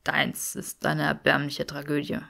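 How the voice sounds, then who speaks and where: A woman's voice: deep voice, monotone, a little slow, creaky, bright, forceful tone, voice pushed down, full character voice. A woman voicing a brooding, self-reproachful, haughty man with dry wit in a fiction audiobook. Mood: rueful.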